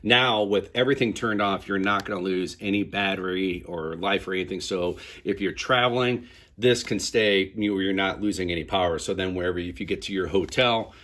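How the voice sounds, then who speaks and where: Man, low-pitched voice, casual product review, informal step-by-step explaining. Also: a bit bright.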